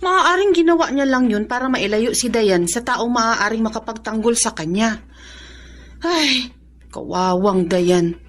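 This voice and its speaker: An actress playing a loyal, plucky housemaid in a radio drama, earnest and anxious, with a Filipino accent. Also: female voice, on the high side, animated, unhurried. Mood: earnest, resolute. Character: loyal housemaid, plucky, sympathetic to her young mistress.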